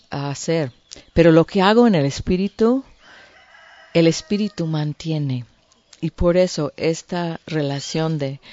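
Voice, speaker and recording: Slow-speaking Mexican woman preaching. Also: deep voice, darkish tone, muffled recording, a little hiss or hum. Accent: Mexican Spanish. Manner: earnest, clears throat, filler "este". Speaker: women's ministry speaker. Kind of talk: sermon to a women's group.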